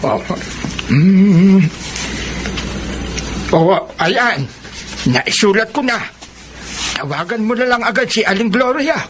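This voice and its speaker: A man's voice: high voice, lively intonation, slow pace, pauses often, noisy background. An actor with a Filipino accent playing a helpful older male neighbour in a radio drama, drawing out his talk with little interjections. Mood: helpful, folksy.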